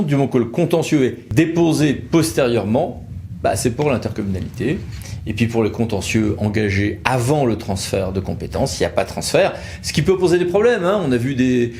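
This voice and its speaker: A man's voice: mid-range voice, a little mellow. Conversational man explaining legal rules. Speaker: legal expert from a law firm presenting a video.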